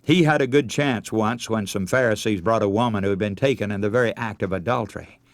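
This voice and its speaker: A man's voice: on the low side, fast talker, dry recording. An older man preaching a radio sermon, telling stories in an earnest way and calling his listeners friends.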